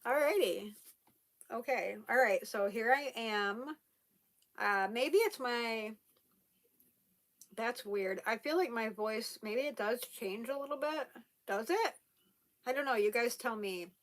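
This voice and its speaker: A woman's voice: on the high side, slow, pauses often. A young woman with a slight lisp, chatting casually to camera at home as she reads viewer comments, laughing often. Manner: chatty, laughs often, many fillers and restarts. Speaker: vlogger reading viewer comments.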